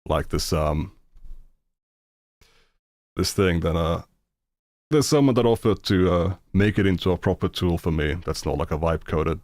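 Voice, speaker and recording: A man's voice: deep voice, a little mellow, no room sound. A man from Finland with a Finnish accent, speaking English as a second language and giving a blunt, rambling reaction commentary full of fillers. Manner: rambling, halting with many fillers, blunt and profane. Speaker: streamer reacting to videos.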